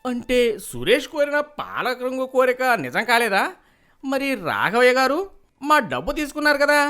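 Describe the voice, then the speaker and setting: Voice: high voice, big pitch swings, normal speed, sharp tone, clean tone, exaggerated voice. An agitated village man. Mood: anxious. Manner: agitated, accusing. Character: anxious, angry village man.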